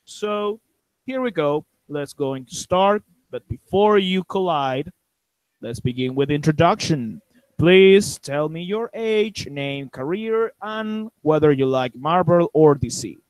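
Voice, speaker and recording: A man moderating an online group chat, asking questions in careful, slightly halting English whose first language is Spanish. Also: on the high side, animated, clear, resonant tone, dry recording, low-quality recording. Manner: slow, careful non-native phrasing. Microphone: video call.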